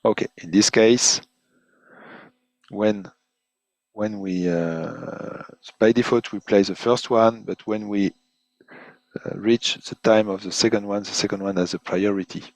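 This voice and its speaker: Slow, low-voiced man demonstrating software. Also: fairly even intonation, dry recording, poor audio. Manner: halting, hesitant phrasing, repeating words. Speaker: product presenter giving a software demo. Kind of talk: webinar demonstration, unscripted walkthrough.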